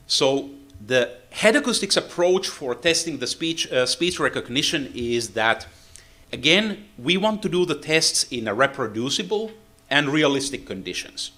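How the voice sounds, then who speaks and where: A man from Finland, a native Finnish speaker with a Finnish accent, giving a technical seminar lecture in English with frequent "uh, uh" pauses. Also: a little high, big pitch swings, sharp tone. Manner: halting, many "uh, uh" fillers.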